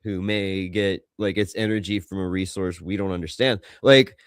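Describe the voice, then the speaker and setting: A man's voice: low-pitched, fairly even intonation, normal speed, clean tone, no room sound, studio quality. A man co-hosting a podcast, musing aloud informally and swearing in casual pop culture banter. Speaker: podcast co-host.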